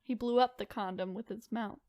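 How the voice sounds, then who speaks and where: A woman's voice: mid-range voice, steady tone, fairly quick, warm tone, no echo. A young woman co-hosting a podcast in casual, joking banter full of informal filler words. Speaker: podcast co-host. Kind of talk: casual comedic banter about creepy folklore.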